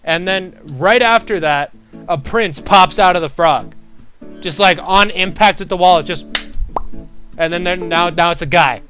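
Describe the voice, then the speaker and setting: Young male casual storyteller, slightly high and bright, slangy, comic, animated, over faint plucked guitar. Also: unhurried. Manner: animated slangy delivery, quotes characters. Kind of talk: casual, improvised comic retelling of a fairy tale.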